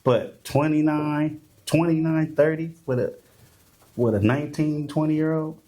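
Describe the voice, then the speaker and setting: A man co-hosting a podcast in casual chat, blunt and joking, laughing loudly. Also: fairly high pitch, fairly even intonation, measured pace. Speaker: podcast co-host.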